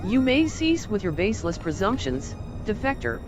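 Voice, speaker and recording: A woman's voice: mid-range voice, very animated, normal speed, close to an everyday voice. Woman acting stern, proud resistance warrior. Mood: cool, haughty. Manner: clipped, formal, haughty. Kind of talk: fantasy video game voice acting. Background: loud game music.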